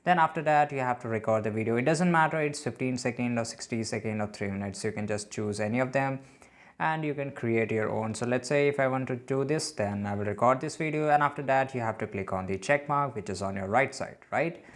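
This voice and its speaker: A man's voice: mid-range voice, a little fast. Man narrating a casual step-by-step tutorial. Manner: often tags sentences with "right?". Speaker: tutorial host.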